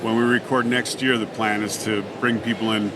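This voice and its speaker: A man's voice: fairly low pitch, little pitch movement, moderate pace. A man talking casually as a podcast guest who makes audio dramas. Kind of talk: casual interview conversation. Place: live on site at a convention. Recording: noisy live recording with background hum.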